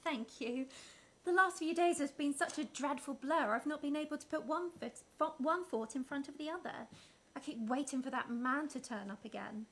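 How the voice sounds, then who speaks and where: A woman's voice: high-pitched, normal speed, a bit bright. A woman playing a timid young clerk. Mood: earnest. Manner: earnest, polite.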